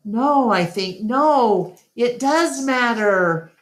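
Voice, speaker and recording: A woman's voice: a little high, animated, very slow, voice pushed up. An earnest storybook gorilla, read by a woman, explains himself hesitantly. His lines are lifted and anxious, rising above the reader's usual narration. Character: earnest, anxious male gorilla who paints.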